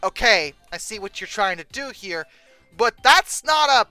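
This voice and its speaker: Exasperated comedic host, sarcastic and casual, very lively intonation, bright voice, close dry sound over faint music. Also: mid-range voice, unhurried, clear, resonant tone, no echo. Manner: exasperated, sarcastic, incredulous asides. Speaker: host reacting to old book text and pictures. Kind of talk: casual comedic commentary with read-aloud passages.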